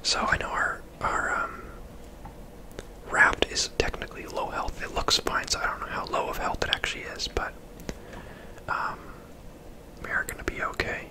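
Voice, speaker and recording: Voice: normal speed, long pauses, low-quality recording. A person whispering casual, chatty ASMR commentary while playing a video game.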